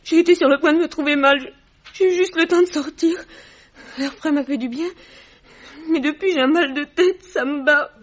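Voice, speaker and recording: Casting: actress as timid teenage servant girl, high plaintive voice, tearful and simple, radio play with dull lo-fi sound. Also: moderate pace, close to an everyday voice. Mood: shaken, plaintive. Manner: plaintive, deferential. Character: frightened tearful eighteen-year-old village housemaid, simple and respectful. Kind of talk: French radio drama acting.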